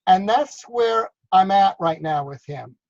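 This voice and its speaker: A man's voice: high-pitched, animated, unhurried, no echo. A man from New York on a video call, talking loosely about drone work, repeating words and asking others to agree. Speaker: drone business consultant. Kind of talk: casual video call discussion. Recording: dull lo-fi call audio.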